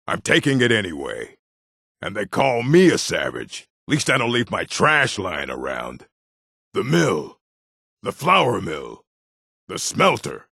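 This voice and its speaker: An actor voicing a gruff, proud male orc warrior in a fantasy video game, with a gravelly, husky voice and blunt, terse delivery. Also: lowish voice, pushed and bright, no echo, clean studio sound, full character voice. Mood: threatening. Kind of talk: video game character voice lines.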